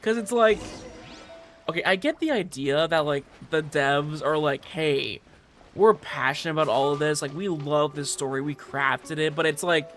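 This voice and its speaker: A man's voice: a little high, normal speed, fairly bright tone, faint music behind. A man streaming a game with casual chat commentary, rambling along with lots of filler words. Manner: rambling, filler-heavy, frequent "like".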